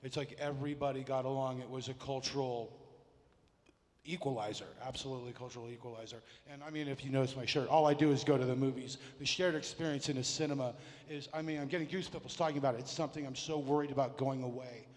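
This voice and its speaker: A man's voice: mid-range voice, fairly even intonation, quick pace. A man from California moderating a convention panel, enthusiastic. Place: large convention hall. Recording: long echo of a big hall.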